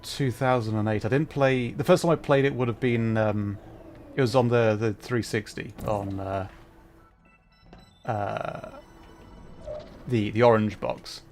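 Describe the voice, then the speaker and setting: A man hosting a livestream, giving casual, halting gameplay commentary as he thinks aloud. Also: fairly low pitch, fairly even intonation, darkish tone, faint music behind. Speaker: livestream host playing a video game.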